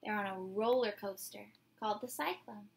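A woman in her twenties doing an expressive, theatrical picture-book read-aloud for children, drawing out words and putting questions to her young listeners. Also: mid-range voice, moderate pace, gravelly, slightly rough. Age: 20s. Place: small decorated room indoors.

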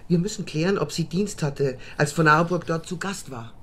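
An actress playing a demanding, businesslike female senior prosecutor with a brisk, official manner in a radio drama. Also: low-pitched, fairly bright tone.

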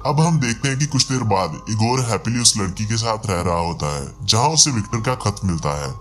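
Guy doing a scripted movie recap, kinda low voice, bit of music under it. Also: fairly bright tone. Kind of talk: scripted story narration.

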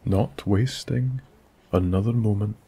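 Scottish man, bedtime story narrator, low gravelly voice, mellow, soothing whispery delivery. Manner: slow, hushed, soothing, drifting into whispers.

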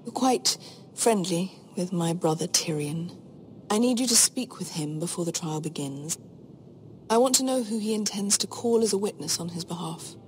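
An actress playing a cold, shrewd queen in a fantasy game, imperious and condescending in measured speech. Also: fairly low pitch, animated, soft background music, close to an everyday voice. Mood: scheming. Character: cold, shrewd, commanding adult queen, veiled threats. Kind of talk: dramatic fantasy game acting.

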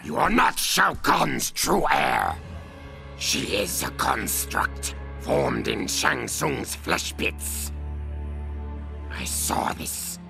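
An actor playing a sly, scornful reptilian informant in a fantasy game, with a gravelly hiss and slow, ominous delivery. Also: male voice, mid-range voice, very animated, bright, forceful tone, faint music behind, full character voice. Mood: accusing. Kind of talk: dramatic fantasy game acting.

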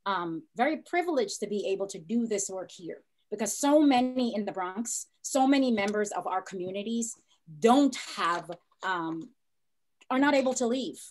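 A woman's voice: medium pitch, lively intonation, normal speed, rough recording. A middle-aged woman with a mid-range voice moderates a panel discussion. She is affirming and encouraging, speaking over a computer video call. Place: plain indoor room.

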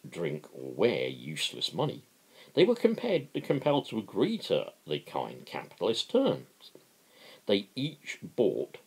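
Deep gravelly man reading dramatically. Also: rough recording. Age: middle-aged. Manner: theatrical, stumbling over words. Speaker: amateur reader. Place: living room at home.

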